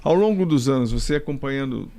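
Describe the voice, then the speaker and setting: Brazilian male host interviewing a guest, mid-pitched, asks long, winding questions. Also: animated, quick pace, warm tone. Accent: Brazilian Portuguese. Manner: chatty, long rambling questions. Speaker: interviewer, sports journalist. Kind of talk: casual interview.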